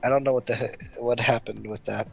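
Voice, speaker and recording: A man's voice: medium pitch, steady tone, a little fast, creaky, husky, soft background music, rough recording. A man playing a tabletop game, chatting casually and swearing freely as he wisecracks. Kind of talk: casual chat.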